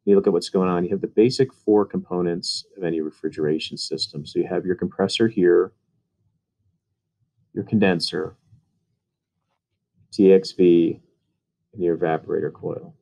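A man with a low, mellow voice talks through technical slides in a relaxed voice-over. He explains things casually, with plenty of ums and uhs along the way. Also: normal speed, gravelly, pauses often. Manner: casual, uses fillers. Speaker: HVAC instructor.

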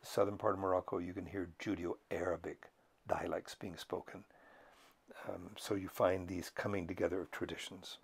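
An older man, a professor, lecturing to camera in a measured, thoughtful way with frequent pauses and fillers. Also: deep voice, little pitch movement, gravelly, husky. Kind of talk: unscripted educational talk to camera.

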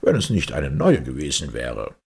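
A man's voice: very deep voice, wildly swooping pitch, moderate pace, vocal fry, darkish tone, breathy edge, heavily acted. A man voicing a pompous, blustering, childish storybook twin brother, scornful and self-important. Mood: boastful.

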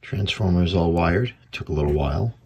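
A man giving casual hands-on repair commentary as a hobbyist, thinking aloud unhurriedly in short, halting phrases. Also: deep voice, a little mellow, a little hiss or hum. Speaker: hobbyist radio repairer, video host. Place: indoor workbench.

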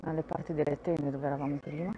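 A woman's voice: low voice, steady tone, quick pace, muffled recording, background noise. A woman giving a casual walking tour of a campground, thinking aloud and often restarting her sentences, recorded on a handheld phone outdoors. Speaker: vlogger touring a campsite.

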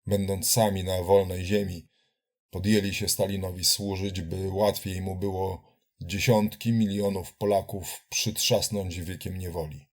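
A man's voice: deep voice, level tone, a little slow. A man giving a dramatic reading of a political essay in a solemn, accusatory, declamatory manner. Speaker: reader of political writings.

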